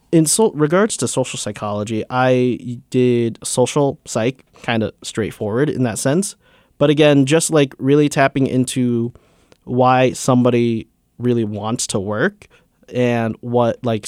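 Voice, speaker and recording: A man's voice: mid-range voice, measured pace, a little mellow, no room sound, clean studio sound. A young man, a student, answering interview questions in a casual, earnest way with many fillers. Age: young adult. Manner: earnest, filler-heavy with many likes and uhs. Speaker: student guest.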